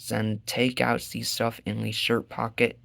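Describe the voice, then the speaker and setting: A man voicing a flattering robot photographer with a fake French accent and broken, gushing phrasing, in a story reading. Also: mid-range voice, measured pace, gravelly, no room sound.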